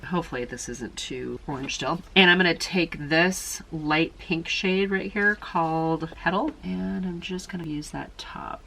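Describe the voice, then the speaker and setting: A woman with a low voice and a bright, forward tone talks through her makeup as she works. She sounds slightly nasal and thinks aloud in a chatty way, adding quick asides. A faint hiss of background noise sits under her voice. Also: creaky, poor audio. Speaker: beauty vlogger. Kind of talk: casual makeup tutorial chat.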